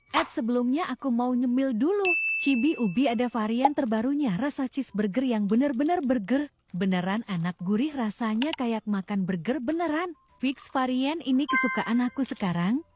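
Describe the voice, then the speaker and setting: Higher-pitched Indonesian woman reviewing snacks. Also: lively intonation, normal speed, background noise. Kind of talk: casual promotional food review.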